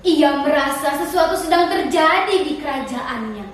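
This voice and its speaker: High-voiced teenage girl storyteller, very echoey. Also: lively intonation, normal speed. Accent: Indonesian, Central Kalimantan. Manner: dramatic, declamatory. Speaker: storytelling contestant. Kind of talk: theatrical folktale storytelling. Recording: distant echoey room with faint noise.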